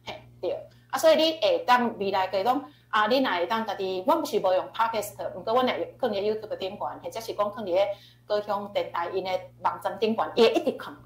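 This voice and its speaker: A woman's voice: medium pitch, fairly quick. A woman with a Taiwanese accent teaching informally in an online question-and-answer session, explaining and repeating key phrases. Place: online video class. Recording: echoey online class audio.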